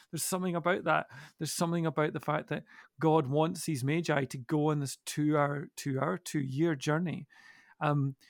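A middle-aged man speaking as a podcast guest in a reflective discussion, thoughtful and halting, with deliberate pauses. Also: on the high side, dry recording, studio quality. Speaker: podcast guest and church minister.

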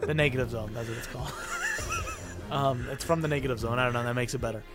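A man's voice: mid-range voice, fairly even intonation, quick pace, over loud music. A man co-hosting an improvised comedy podcast, playful and laughing, often trailing off mid-sentence. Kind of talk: casual improvised comedy banter.

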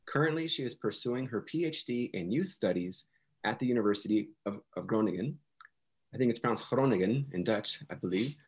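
A man co-hosting a podcast, talking in a measured way and hedging with "you know" and "I think". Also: on the low side, animated, breathy edge, low-quality recording. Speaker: podcast co-host.

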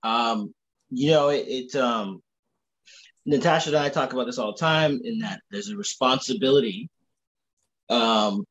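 A man chatting casually as a podcast guest, jovial and quick to chuckle, often repeating his phrases. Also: medium pitch, expressive, vocal fry, fairly bright tone, muffled recording. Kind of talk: casual chat.

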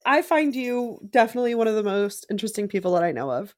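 A woman talking as a guest in a casual podcast chat between friends, effusive, slangy and quick to swear. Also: mid-range voice, big pitch swings, dry recording, studio quality.

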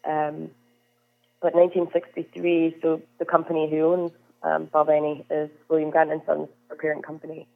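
Young scottish lady chatting on a phone call, kinda monotone, thin phone sound. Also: low voice, normal speed, creaky. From Scotland, Isle of Lewis. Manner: friendly, chatty, frequent "um"s. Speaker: whisky brand ambassador, guest. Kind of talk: conversational interview answers. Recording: tinny phone line.

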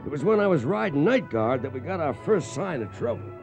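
A man's voice: fairly high pitch, big pitch swings, brisk. A man acting a cowboy who narrates a cattle drive in a scripted western radio drama. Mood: matter-of-fact. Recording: muffled radio broadcast with music behind.

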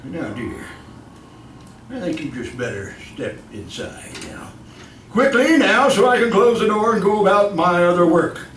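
A man's voice: sits high, sing-song, a little slow, noisy background. An actor playing a sly old male murderer in a theatrical old-time radio horror style, drawing out and repeating his words. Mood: gloating, menacing. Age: older. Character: gloating old male murderer, sly, cackling, cowardly when cornered. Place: live stage performance. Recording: roomy distant stage recording, loud music.

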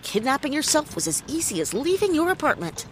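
A woman playing a wry, hard-boiled private detective in a noir audio drama, deadpan, quippy and world-weary. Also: high-pitched, fairly quick, slight background noise. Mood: deducing.

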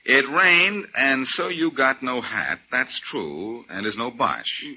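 An actor playing a confident, wisecracking amateur detective in a breezy, wry 1940s radio acting style. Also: male voice, mid-range voice, lively intonation, slow pace, sharp tone, slight background noise, heavily acted. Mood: wry, playful. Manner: breezy, self-assured, wry. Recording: muffled 1940s radio recording.

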